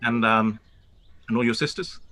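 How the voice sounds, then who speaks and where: Radio play: man as a proud young gentleman, awkward and halting. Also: mid-range voice, steady tone, natural voice. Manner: stammering, awkward. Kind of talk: live-read radio play.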